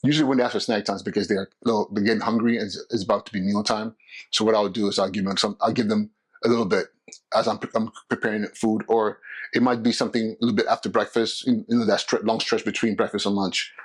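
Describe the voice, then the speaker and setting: A man's voice: fairly low pitch, steady tone, fast talker, breathy edge. A middle-aged man from California hosting his own podcast, talking casually with lots of restarts and fillers. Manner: conversational, many restarts and fillers. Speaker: podcast host and school principal. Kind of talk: casual solo podcast talk.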